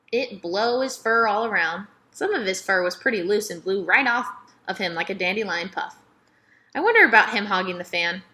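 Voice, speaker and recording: A woman narrating a children's novel aloud in an expressive, emphatic storytelling manner. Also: medium pitch.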